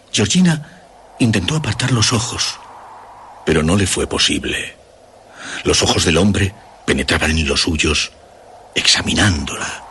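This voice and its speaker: A man narrating a scripted horror story in deliberate, suspenseful phrases. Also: sits low, pushed and bright, a little hiss or hum. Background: music.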